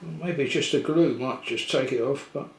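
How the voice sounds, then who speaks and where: Older male, mid-pitched, casual tutorial presenter thinking aloud, pausing often; roomy echo. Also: creaky. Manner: unhurried, thinking aloud with pauses. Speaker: electronics repair presenter. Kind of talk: casual hands-on tutorial narration. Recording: roomy echo with faint background noise.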